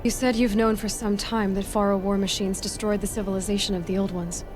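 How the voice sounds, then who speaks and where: A woman's voice: medium pitch, flat delivery, a little fast, music in the background, close to an everyday voice. An actress playing a stubborn, questioning young female adventurer in a video game, earnest with a sardonic edge. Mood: firm. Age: young adult. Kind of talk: dramatic video game acting.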